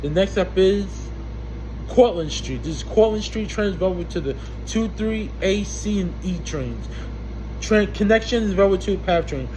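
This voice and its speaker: A man's voice: high voice, moderate pace, rough recording. A man reciting subway announcements in a formulaic way, imitating a train announcer and now and then stumbling over words. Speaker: amateur transit announcer. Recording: loud steady background hum and noise.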